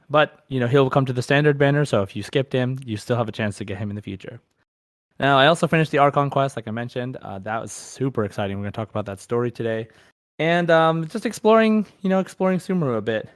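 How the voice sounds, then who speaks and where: A man hosts a casual gaming podcast in a mid-pitched voice. He talks like an enthusiastic fan, informal and chatty. The sound is dry, with hardly any room in it. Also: dry recording. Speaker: podcast host.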